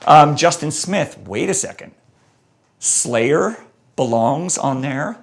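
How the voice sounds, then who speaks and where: A man hosting a live-stream debate show, reading out viewer comments in an energetic, joking way, his voice a little hoarse. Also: a little high, slow. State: voice going a bit hoarse. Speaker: host.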